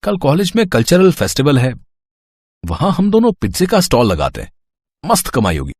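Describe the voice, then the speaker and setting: A man's voice: on the high side, wildly swooping pitch, normal speed, a little mellow, dry recording, studio quality. A man playing an easygoing, kindly young city student in a story, reassuring and casual.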